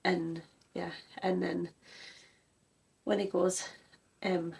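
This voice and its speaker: A woman's voice: fairly low pitch, level tone, very slow, vocal fry, darkish tone, breathy edge. A woman who grew up in Germany, co-hosting a music reaction chat and giving earnest opinions in a casual way. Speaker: co-host of a music reaction channel.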